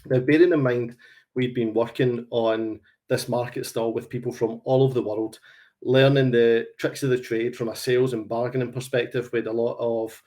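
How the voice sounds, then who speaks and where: A man's voice: mid-range voice, steady tone, normal speed, dark tone. A man of around forty with a Glaswegian Scottish accent, presenting a business webinar on a call in a conversational, anecdotal way. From Glasgow, Scotland. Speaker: webinar presenter, sales consultant.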